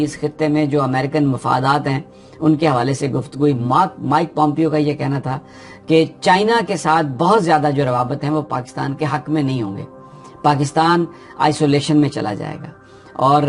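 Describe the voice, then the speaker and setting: A man with a Pakistani Urdu accent explains political news in an unscripted, steady way, often pausing with "uh" as he thinks. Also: fairly high pitch. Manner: steady explanatory delivery with frequent hesitations.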